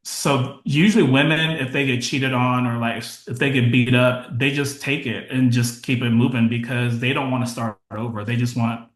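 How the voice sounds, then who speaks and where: A man in his thirties, a podcast guest, talking in a relaxed, matter-of-fact way that trails off now and then. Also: mid-range voice, normal speed, hardly any pauses, roomy sound, low-quality recording. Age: 30s. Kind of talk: casual interview chat.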